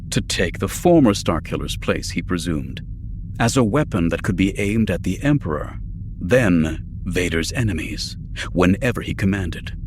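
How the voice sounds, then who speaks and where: Male audiobook narrator, low and serious, measured dramatic delivery over clearly audible ambient effects. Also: noisy background. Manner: measured, serious, polished reading. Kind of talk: dramatic scripted narration of science fiction.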